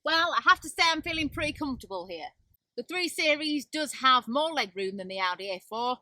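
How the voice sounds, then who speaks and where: Bright-voiced Yorkshire woman reviewing cars. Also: sits high, brisk, dry recording, far from the mic. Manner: chatty, opinionated, expressive. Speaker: car reviewer. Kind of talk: casual on-camera car review. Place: inside a car, driving.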